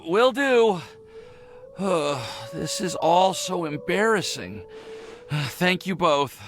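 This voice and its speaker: A man's voice: sits high, big pitch swings, slow, fairly bright tone, slight background noise, exaggerated voice. A man playing a sheepish, lovestruck young man in a video game, stammering and hesitating in an earnest, apologetic way. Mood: embarrassed. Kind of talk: video game character acting.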